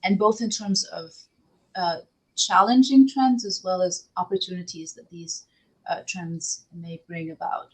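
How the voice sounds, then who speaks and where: A woman's voice: fairly low pitch, steady tone, a little slow, husky, poor audio. A woman from Egypt moderating a panel, speaking with frequent restarts and repeated words. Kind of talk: panel discussion.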